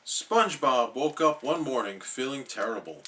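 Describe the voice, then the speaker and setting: A man narrating a children's bedtime story as an expressive reader. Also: medium pitch, normal speed, recorded from a distance.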